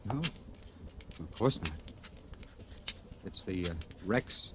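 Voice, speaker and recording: A man's voice: low-pitched, animated, slow pace. An actor playing a nervous, down-on-his-luck man in a 1940s radio drama, speaking hesitantly with stammers. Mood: bewildered, grateful. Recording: noisy old 1940s radio recording.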